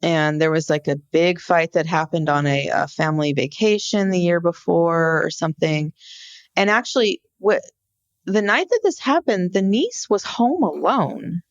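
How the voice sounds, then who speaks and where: A woman co-hosting a podcast, explaining a case conversationally in an informal, halting storytelling way. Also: on the low side, no echo, highs cut off. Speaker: podcast co-host. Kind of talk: conversational true-crime case discussion.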